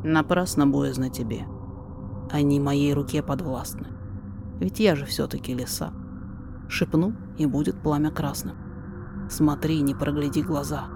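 Woman voicing a calm, commanding fox sorcerer. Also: a little high, measured pace, creaky, long pauses, noisy background, voice pushed down. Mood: calm assurance. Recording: music behind the voice.

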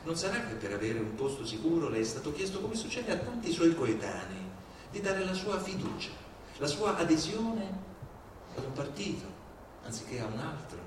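Uomo che declama in una sala molto riverberante. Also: male voice, a little high, very animated, normal speed. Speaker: stage performer. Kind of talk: theatrical read monologue, civic oratory. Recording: very echoey, distant, clearly noisy.

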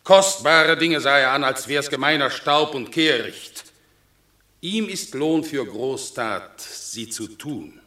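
An actor playing a stately Roman general in a classic radio play, declaiming solemnly with emphatic pauses. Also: male voice, mid-range voice, pushed and bright. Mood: grave admiration. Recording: echoey radio drama studio.

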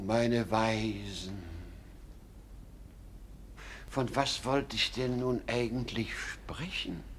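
Zerstreuter, pompöser König mit stark schwankender Stimme. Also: male voice, medium pitch, a little hiss or hum. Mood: bewildered, grandiose. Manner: halting, pompous, muddled, swooping from mutter to shout. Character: confused, absent-minded, pompous king. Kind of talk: theatrical German radio play acting.

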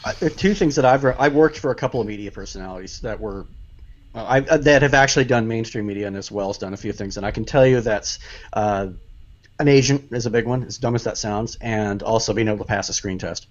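A man's voice: fairly low pitch, quick pace, dull audio. A man speaking as a guest journalist on a roundtable, hesitant and full of restarts. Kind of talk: casual roundtable chat.

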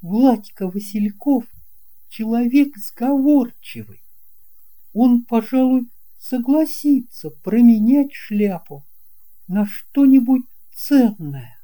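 Woman, children's audiobook narration, very slow pace, very soft dark tone, deliberate dramatic pauses. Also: fairly high pitch, expressive. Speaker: audiobook reader. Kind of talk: expressive narration of a children's story.

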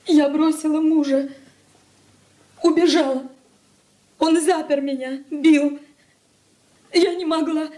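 An actress in a Soviet radio drama playing a distraught young runaway wife, pleading and tearful. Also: female voice, sits high, steady tone, slow, pauses often, mellow. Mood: anguished pleading.

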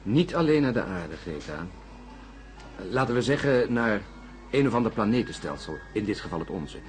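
An actor playing an intense, insistent astronaut in a radio drama, speaking emphatically with weighty pauses. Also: male voice, fairly low pitch, big pitch swings, soft background music. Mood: insistent, confident. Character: intense, insistent male astronaut expounding his theory. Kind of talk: Dutch science fiction radio drama.

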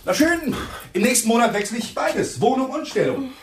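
An actor playing a young, uneasy lawyer with an evasive, placating manner in a German radio crime drama. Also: male voice, very high-pitched, sing-song, moderate pace, a little hiss or hum. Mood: optimistic.

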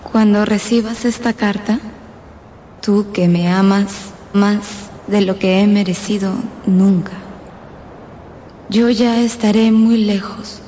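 A female actor plays a tender young woman full of remorse in a radio drama. Her dark, soft voice is medium-pitched and measured, with faint ambient noise behind. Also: natural voice.

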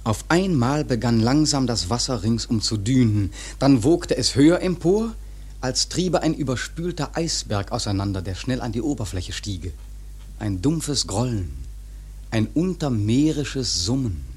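An actor playing a grave seafaring storyteller, narrating a radio drama in a husky, measured, literary way. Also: male voice, medium pitch, lively intonation, darkish tone. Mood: grave, suspenseful. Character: grave male seafaring storyteller recounting a whale hunt.

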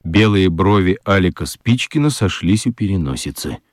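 A man narrating a children's radio story in an expressive, scripted storytelling style. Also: low-pitched, normal speed, no echo, clean studio sound.

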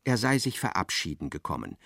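An actor playing a dry storyteller, giving measured, precise literary narration in a radio play. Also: male voice, sits low, wildly swooping pitch, vocal fry, fairly bright tone, dry recording, heavily acted.

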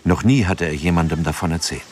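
A man narrating a radio drama in a calm, measured way, with music behind him. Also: low-pitched, a little hiss or hum, lightly acted.

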